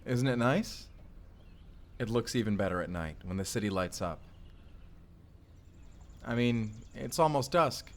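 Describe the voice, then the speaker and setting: A man's voice: medium pitch, expressive, measured pace, pauses often. A man playing a tender, boyish husband from the future in an intimate, bashful, rambling romantic audio roleplay. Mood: playful, affectionate. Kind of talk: romantic audio roleplay acting, addressed to listener.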